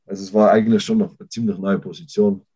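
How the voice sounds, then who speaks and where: Husky young Austrian man, low voice. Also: steady tone, dark tone. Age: young adult. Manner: halting, restarts sentences while searching for words. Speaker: guest, college football player. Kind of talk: casual interview talk.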